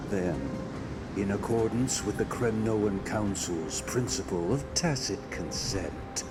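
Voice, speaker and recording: An actor playing a solemn, cold middle-aged warrior king and father in a video game, with stately, declamatory, archaic speech. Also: lowish voice. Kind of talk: dramatic video game voice acting. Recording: loud music under the voice.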